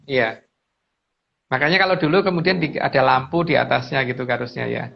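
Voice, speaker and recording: A guest speaker, a man, explains in a casual, halting way. His mid-pitched voice has a lot of creak, and he often repeats words. The audio is dull and lo-fi. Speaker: guest speaker on mystical lore.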